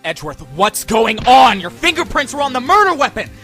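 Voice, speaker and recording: An actor playing an anxious, earnest young male defense lawyer with an expressive voice in a fan audio drama. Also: very high voice, fairly quick, soft background music, exaggerated voice. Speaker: defense attorney.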